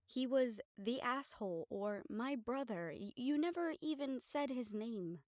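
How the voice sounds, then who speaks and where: Actress as supportive female partner, fairly high voice, wry, playful, conversational audio drama delivery, dry recording. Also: animated, unhurried, a little mellow, no room sound. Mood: gentle, probing. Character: teasing, supportive partner of a vampire woman, gently probing. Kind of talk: dramatic audio roleplay acting.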